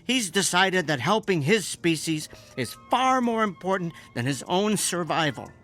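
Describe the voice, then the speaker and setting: An earnest amateur scientist and put-upon husband, with a high, very animated voice. He speaks emphatically and brightly, with loyal, defiant conviction, in radio drama style over faint music. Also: measured pace, full character voice. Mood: indignant.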